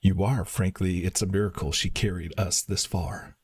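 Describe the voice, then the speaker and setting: A man doing casual live-stream game commentary, muttering to himself and sometimes reading dialogue aloud haltingly. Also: low voice, fairly even intonation, breathy edge, no room sound.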